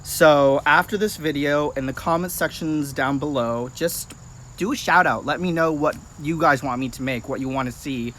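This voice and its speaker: A man's voice: on the high side, moderate pace, fairly bright tone. Man, late twenties, casual livestream host, familiar chatty delivery, light insect-like background noise. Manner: chatty, informal, addresses viewers familiarly. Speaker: livestream host, practitioner of folk magic. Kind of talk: casual livestream chat with viewers.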